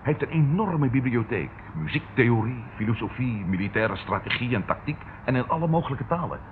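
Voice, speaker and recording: An actor playing an animated, dryly ironic police detective in an old radio drama. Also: male voice, mid-range voice, brisk, natural voice. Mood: intrigued. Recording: muffled, noisy old radio recording.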